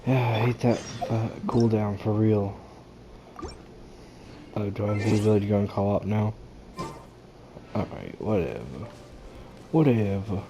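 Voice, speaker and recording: A man's voice: on the low side, moderate pace, pauses often. A man giving casual, slangy, offhand commentary as he plays a video game. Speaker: gamer, playthrough host. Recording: noisy, game ambience behind.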